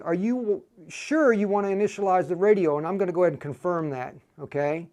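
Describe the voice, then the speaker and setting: A man's voice: sits high, darkish tone. A man hosting a casual live tutorial, speaking informally to viewers and often restarting his phrases. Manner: halting, repeats and restarts phrases; informal, addresses viewers directly as "you guys". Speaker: livestream host and instructor.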